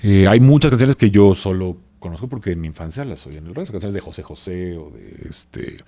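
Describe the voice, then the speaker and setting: A Mexican man with a low-pitched voice talks as an expert guest on a podcast. He explains casually and hesitantly, often drifting into side remarks in a loose conversational way. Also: animated, fairly quick, mellow. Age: 40s. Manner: rambling, hesitant, with asides.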